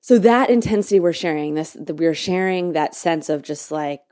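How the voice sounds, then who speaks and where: A podcast host thinks aloud in a low, mellow woman's voice. She gropes for words with fillers and restarts, then slows right down to lean on single phrases. The sound is close and dry, with no room around it. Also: no room sound. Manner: halting, searching, many restarts and fillers; slow, drawn-out emphasis on key words. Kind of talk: reflective spoken monologue.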